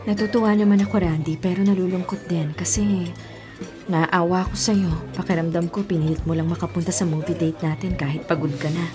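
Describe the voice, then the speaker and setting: A woman's voice: fairly low pitch, steady tone, brisk, close to an everyday voice. An actress with a Filipino accent playing a sad, sympathetic young girlfriend in a radio drama, plaintive and subdued. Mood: sad, let down. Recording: music behind the voice.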